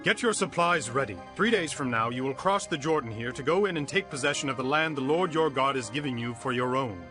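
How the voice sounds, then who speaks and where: Guy playing firm commanding leader in a bible audio drama, mid pitch, declaiming over music. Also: a little fast, natural voice. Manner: declamatory, authoritative. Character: Joshua, commanding Israelite leader, firm and purposeful. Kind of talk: dramatized scripture reading. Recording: music under the voice.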